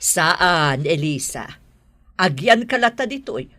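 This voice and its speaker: A woman's voice: fairly low pitch, sing-song, slow pace, sharp tone, no room sound, studio quality, exaggerated voice. A woman acting a middle-aged, doting and emotional mother and grandmother in an Ilocano radio drama. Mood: tender, grave.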